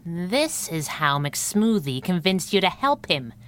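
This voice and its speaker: A woman's voice: on the low side, sing-song, slow pace. A woman playing a feisty, wisecracking masked heroine in a 1930s-style radio adventure, sassy and punchy with old slang. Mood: impatient, probing.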